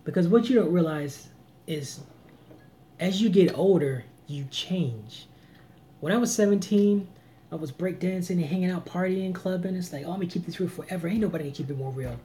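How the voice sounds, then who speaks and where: A man in his late thirties talks on a live vlog, earnest and preachy. His voice is mellow and dark, his emphasis swings from loud bursts to near-murmurs, and a faint hiss runs under the recording. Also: high voice, fairly quick. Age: 30s. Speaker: vlogger. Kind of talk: live-stream advice chat.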